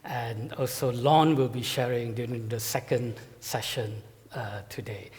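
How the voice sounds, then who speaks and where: A man giving a conference talk to pastors as a guest speaker, with a measured, halting delivery full of fillers and repeated words. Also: medium pitch, steady tone, darkish tone. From Malaysia. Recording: reverberant hall sound.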